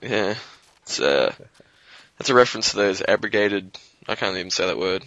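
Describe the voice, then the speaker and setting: Young Australian man, low voice with a forceful bright edge, slightly hoarse, loose rambling gamer talk. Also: animated, moderate pace, lo-fi sound. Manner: rambling, loose, swears freely. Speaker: guest commentator. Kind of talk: casual gaming commentary.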